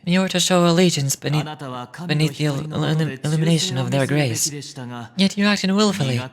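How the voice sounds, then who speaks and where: A woman's voice: deep voice, crowd noise, voice pushed down. Woman putting on a composed, preachy male judge. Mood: stern, solemn. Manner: formal, measured, preachy. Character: composed, stern, sanctimonious male judge of a religious order. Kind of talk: reading game dialogue aloud in character.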